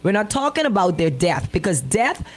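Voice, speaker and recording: A woman's voice: fairly low pitch, very animated, normal speed. A woman co-hosting a radio talk show in casual, opinionated banter, speaking emphatically in colloquial phrasing and often repeating her points.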